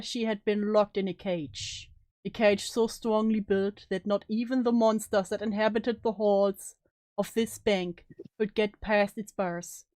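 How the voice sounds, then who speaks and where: A woman's voice: mid-range voice, darkish tone, no room sound, close to an everyday voice. Anguished, guilt-haunted student read dramatically by a woman. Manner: solemn, melodramatic, anguished. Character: guilt-ridden, despairing young student haunted by loss. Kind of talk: dramatic reading of story narration.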